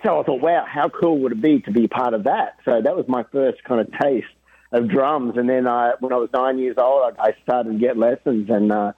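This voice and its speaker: A man being interviewed about drumming and faith, talking in an earnest, animated way and laughing easily. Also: mid-range voice, normal speed, no echo. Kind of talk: casual interview chat. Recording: dry tinny phone-like line.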